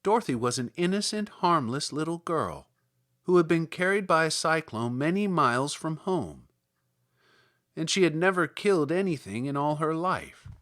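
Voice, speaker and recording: A man reading a classic children's story aloud as narrator, in an expressive, measured storytelling manner. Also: on the high side. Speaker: audiobook narrator.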